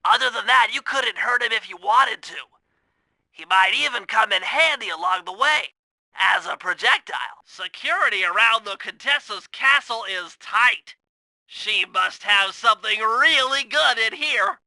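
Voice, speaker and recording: A man voicing a nerdy, earnest young turtle who plans heists in an animated video game, with a nasal voice and a precise, technical, eager way of briefing. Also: high voice, a little slow, no room sound, full character voice, low-quality recording. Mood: brisk, instructing.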